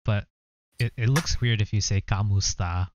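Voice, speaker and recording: A young man co-hosting a casual reaction chat, explaining things in a relaxed way with lots of fillers. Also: lowish voice, normal speed, dry recording, dull audio. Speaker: co-host.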